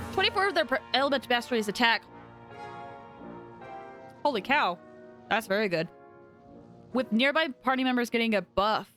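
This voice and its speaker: A woman chatting casually on a game stream, giving animated reactions to what she sees. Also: on the high side. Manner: chatty, animated reactions, breaks into singing and laughter. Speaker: livestreamer. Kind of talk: casual live gaming commentary with chat. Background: game music.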